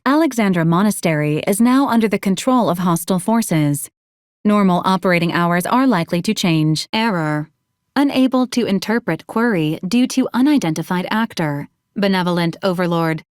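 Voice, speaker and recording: A woman playing a polite female robot assistant in a video game, speaking in formal, procedural phrases with a deadpan, literal manner. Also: mid-range voice, dry recording, studio quality, close to an everyday voice. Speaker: robot companion giving mission advisories. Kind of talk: scripted video game character lines.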